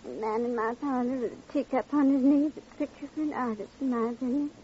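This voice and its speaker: An actress playing a dainty, chatty, slightly dotty widow with affected manners in an old radio drama. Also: female voice, high voice, fairly even intonation, fast talker, warm tone, lightly acted. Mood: dreamy, chatty. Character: genteel, dotty widow who enjoys attending strangers' funerals. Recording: muffled, noisy old radio recording.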